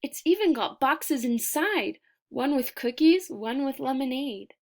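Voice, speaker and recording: A woman reading a children's picture book aloud expressively, deliberate in her delivery, with dramatic pauses and emphasis. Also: sits high, lively intonation.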